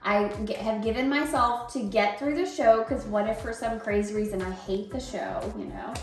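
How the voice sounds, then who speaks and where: A woman's voice: mid-range voice, expressive, moderate pace. A woman in her thirties chatting casually and effusively, like a vlogger. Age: 30s. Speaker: vlogger, amateur bodybuilding competitor. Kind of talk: casual, gushing chat. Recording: echoey room, distant mic, faint music.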